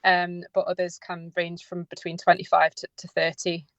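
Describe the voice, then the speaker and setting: A woman's voice: on the low side, completely flat delivery, normal speed, fairly bright tone, dry recording, dull audio. A woman giving informal, unscripted radio interview answers as a drama writer, hesitant and often correcting herself as she goes. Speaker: audio drama writer and producer, interview guest.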